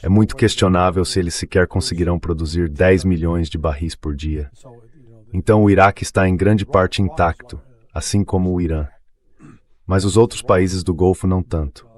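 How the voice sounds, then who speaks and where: Male analyst, very low-pitched, synthetic voice-over delivering political commentary. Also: fairly even intonation, normal speed, darkish tone. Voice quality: synthetic dubbing voice. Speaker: commentator guest.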